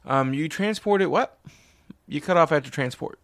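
A man's voice: on the high side, animated, moderate pace, fairly bright tone, no room sound, studio quality. A man in his forties hosting a podcast in casual chat, rambling with restarts and wry asides. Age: 40s. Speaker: podcast host.

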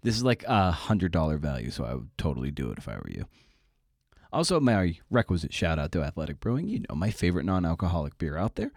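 Wry, low-voiced male podcast host. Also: sing-song, fast, a little mellow, no room sound, clean studio sound. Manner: chatty, wry and self-deprecating, with asides. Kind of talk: casual solo podcast intro.

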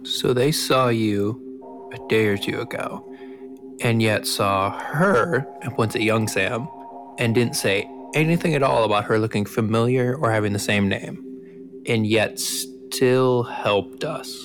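A man playing a tabletop game, talking through his reasoning in a deliberate way. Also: mid-range voice, over music. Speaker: player. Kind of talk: casual game chat.

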